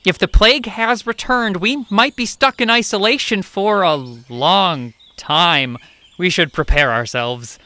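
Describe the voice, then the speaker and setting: A woman's voice: on the low side, a little slow, pushed and bright, no echo. A woman playing a dry, practical young royal in a comic stage-style audio drama. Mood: resigned.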